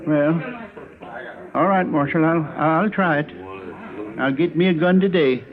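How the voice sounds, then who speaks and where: Worried little homesteader played by a man, highish and hesitant, naive, stubborn, old-radio western with dulled sound. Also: a little slow, a loud crowd, close to an everyday voice. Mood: stubborn, uneasy. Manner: meek, hesitant, stammering. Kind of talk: 1950s radio western acting. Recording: muffled old radio broadcast.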